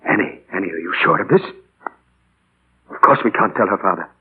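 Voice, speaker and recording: An anguished middle-aged playwright in a 1940s radio play. His low voice is very husky and breaks into halting, earnest repetitions. The old broadcast sounds thin and boxed-in. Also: lively intonation, phone-quality sound, full character voice. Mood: shocked. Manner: halting, earnest, repeats words in distress. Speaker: radio actor. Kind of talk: 1940s radio drama acting.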